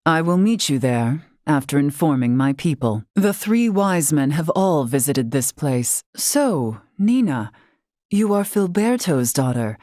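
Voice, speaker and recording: धीमे सुर वाली गंभीर महिला मुखिया. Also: female voice, sits low, lively intonation, dark tone, no room sound, clean studio sound. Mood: solemn, composed. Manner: formal, archaic, measured phrasing. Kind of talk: video game character acting.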